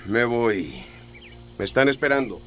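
A man playing a worried, quick-tempered middle-aged son and husband with a Costa Rican accent, exasperated and emphatic, in a radio drama. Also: medium pitch, very animated, fairly bright tone.

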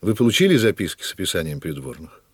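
An actor playing a scheming, ambitious churchman in a radio play, commanding, measured and theatrical, with a husky voice. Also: sits low, very animated, no echo, studio quality, exaggerated voice. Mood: persuasive, urgent. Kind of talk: Russian radio play acting.

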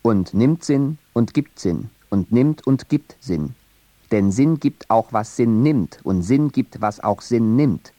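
A man reading a scripted radio essay on poetry in a measured, deliberate way. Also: medium pitch, lively intonation, warm tone, dry recording. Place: radio studio.

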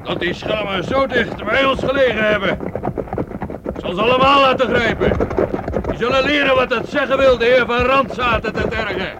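An actor playing an arrogant, brutal medieval knight in a radio play, giving contemptuous orders. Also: male voice, very high-pitched, moderate pace. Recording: loud hiss on an old tape.

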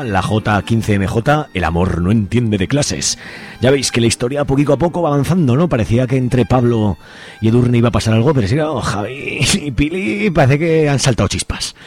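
A man's voice: medium pitch, animated, brisk, a little mellow, soft background music. A man with an accent from Spain presenting a radio show in a casual, playful, colloquial way. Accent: Spanish, from Spain. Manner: chatty, colloquial, joking.

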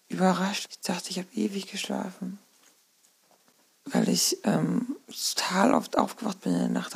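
A woman talking casually and haltingly, thinking aloud as if recording an audio diary. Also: mid-range voice, creaky, darkish tone, slightly rough. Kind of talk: casual spoken audio diary, recounting dreams. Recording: noisy informal recording with ambient sound.

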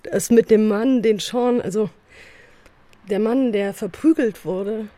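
An actress playing a drowsy, affectionate wife in a radio drama, speaking in a playful, intimate way. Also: female voice, medium pitch, takes it slowly, warm tone, no room sound, natural voice. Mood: playful, teasing.

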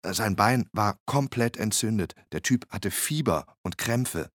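Hurricane survivor, low voice, plain first-person storytelling, dry radio drama sound. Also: male voice, expressive, a little slow, no echo. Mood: recounting, weary. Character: male hurricane survivor, photographer, recounting his rescue.

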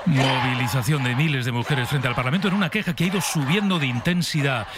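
A man's voice: medium pitch, fast, over a noisy crowd, very noisy. A man with an accent from Spain presenting morning radio news with casual banter.

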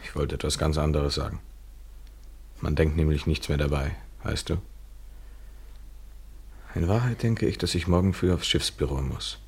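Deep, gravelly, detached male lover. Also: steady tone, full character voice. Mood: tender but detached. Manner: measured, deliberate, literary phrasing. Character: detached, domineering male lover, coolly courteous and evasive. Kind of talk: 1970s German radio drama acting.

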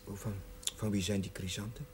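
An actor playing a convalescent young village chaplain in a television drama, frail and hesitant, with dry wit. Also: male voice, lowish voice, steady tone, a little fast, background noise, close to an everyday voice. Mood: hungry, hesitant. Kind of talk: naturalistic television drama acting.

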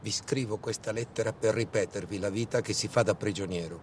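A man's voice: fairly low pitch, brisk, a little hiss or hum, natural voice. A man performing a dramatic war monologue as a theatrical, bitterly ironic storyteller. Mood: pleading. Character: bitter, sardonic male storyteller of war captivity.

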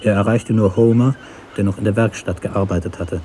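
A man narrating a literary story for radio in a measured, deliberate way. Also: lowish voice, fairly even intonation, warm tone, slight background noise.

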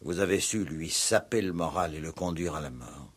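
An actor in a 1960s French radio play playing a stern, principled police commissioner with a measured, formal, authoritative delivery. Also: male voice, a bass voice, expressive, husky, exaggerated voice. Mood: stern, solemn.

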